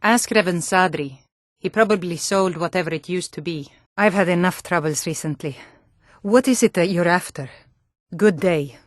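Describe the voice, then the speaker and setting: A woman's voice: lowish voice, moderate pace, no room sound, clean studio sound, close to an everyday voice. An actress playing a stern, world-weary female Nord guard in a fantasy video game, speaking in a blunt, matter-of-fact way. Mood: businesslike.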